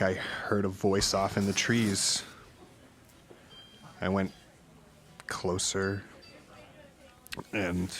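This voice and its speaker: Shaken witness telling an eerie story. Deep, unhurried, halting, naturalistic audio-drama read. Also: male voice. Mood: shaken, grim. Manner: halting, deliberate, uneasy. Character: haunted married truck driver recounting eerie encounters. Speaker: podcast guest, witness.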